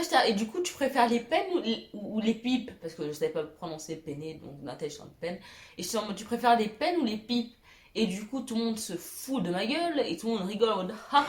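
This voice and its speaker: A young woman telling funny childhood stories to her viewers, self-mocking, giggling and pausing on fillers. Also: medium pitch, echoey room. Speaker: YouTuber. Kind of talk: storytelling vlog.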